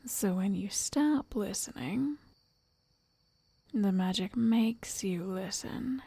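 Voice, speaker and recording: Woman as a possessive witch, ASMR roleplay, breathy half-whisper, unhurried, coaxing, controlling, very close and dry. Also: medium pitch, dry recording, exaggerated voice. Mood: gently commanding. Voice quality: breathy, soft, often whispering. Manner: slow, tender, condescending endearments.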